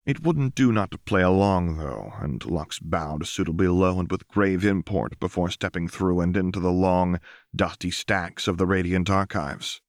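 Low-voiced man narrating fiction. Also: normal speed, dry recording, clean studio sound. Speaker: audiobook narrator.

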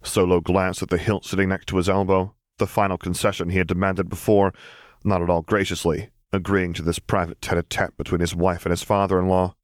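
A man's voice: low-pitched, level tone, brisk, no echo, studio quality. A man reading scripted fiction aloud as an audiobook narrator.

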